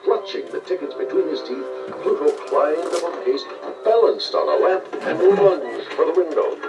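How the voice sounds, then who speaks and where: A man reading scripted children's read-along narration with expressive storytelling delivery. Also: on the high side, normal speed, gravelly, recorded from a distance, dull audio, over music.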